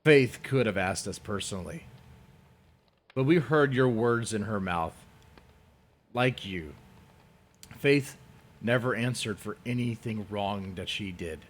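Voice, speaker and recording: A man giving a dramatic reading in a theatrical, scornful manner, leaning on words with deliberate, drawn-out emphasis. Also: fairly low pitch, lively intonation. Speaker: reader of an online post.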